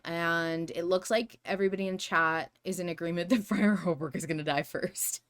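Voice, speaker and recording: A woman's voice: fairly low pitch, steady tone, normal speed. A woman co-hosting a podcast in casual fan discussion, rambling as she reasons aloud through possibilities. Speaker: podcast co-host.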